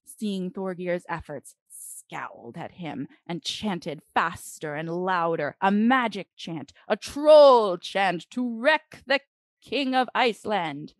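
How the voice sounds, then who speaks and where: A woman reading a children's storybook aloud dramatically, with deliberate phrasing and expressive pauses. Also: mid-range voice, no echo.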